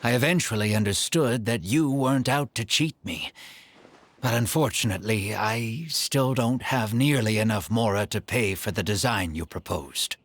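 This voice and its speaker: A man's voice: fairly low pitch, no echo, close to an everyday voice. A man voicing a humble old desert dweller. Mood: earnest. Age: older. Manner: earnest, measured, gentle. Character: elderly male desert dweller, earnest and humble. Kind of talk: video game voice acting. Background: game music.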